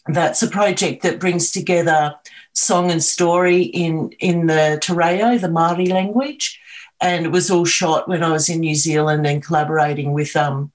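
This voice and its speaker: A middle-aged Australian woman, a video artist, talking about her work on a video call, with many pauses, ums and restarts. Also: deep voice. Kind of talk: artist talk on a video call, sharing screen.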